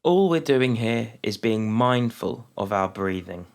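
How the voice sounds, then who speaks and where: A man leading a guided mindfulness meditation, speaking calmly and unhurriedly with long pauses between phrases. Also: lowish voice, creaky.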